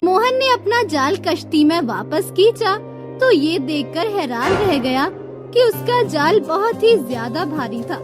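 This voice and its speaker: A woman's voice: very high voice, very animated, unhurried. A woman narrating a scripted children's moral story as a storyteller. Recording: loud music bed behind voice. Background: music.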